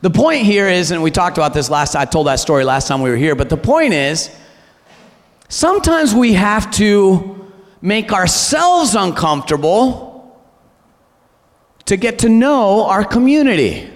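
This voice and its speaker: High, animated man preaching in a hall. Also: measured pace. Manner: exhorting, animated, asks rhetorical questions. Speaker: pastor. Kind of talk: sermon. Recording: long church hall reverb.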